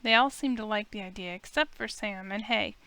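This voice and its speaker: A woman's voice: mid-range voice, a little fast, sharp tone, smooth, no echo. A woman reading a visual novel aloud in the first person, acting out the heroine's lines.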